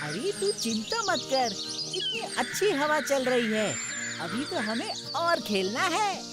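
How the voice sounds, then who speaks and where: A woman's voice: sits high, sing-song, takes it slowly, over loud music, full character voice. A woman voicing a confident, bossy young schoolboy in a children's cartoon, assertive and childlike. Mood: eager.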